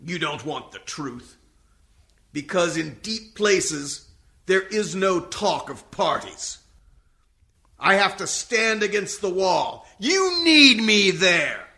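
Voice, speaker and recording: A man's voice: sits high, gravelly, exaggerated voice. A man playing a righteous, contemptuous military colonel in an amateur radio comedy, haranguing with heavy emphasis. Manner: haranguing, emphatic, swings into shouting. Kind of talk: amateur radio comedy parody of courtroom film drama.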